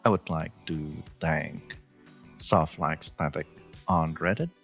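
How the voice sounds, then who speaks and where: A man's voice: rumbling low, slow pace, creaky, a bit bright, soft background music. A man speaking as an audio creator, giving a casual scripted outro to his listeners.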